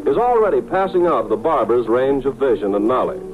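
A man's voice: mid-range voice, sing-song, a little fast. A man working as an announcer, reading scripted narration for an old-time radio serial. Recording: narrow-band old radio recording with music. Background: music.

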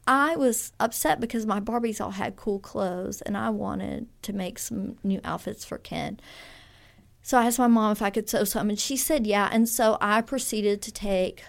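A woman's voice: mid-range voice, gravelly. A woman in her fifties from Louisiana, a fiber artist chatting in a radio interview, rambling through stories with restarts and small laughs.

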